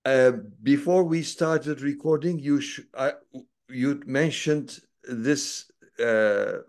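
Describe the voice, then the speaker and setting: A man hosting an interview, asking slow, halting questions with many fillers. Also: mid-range voice, a little mellow. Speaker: interviewer, host.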